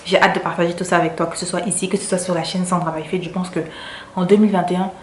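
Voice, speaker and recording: A woman's voice: fairly low pitch, level tone, rapid-fire, roomy sound, a little hiss or hum. A woman from Cameroon with a French accent, chatting to her viewers in a casual vlog, repeating words for emphasis. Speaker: vlogger.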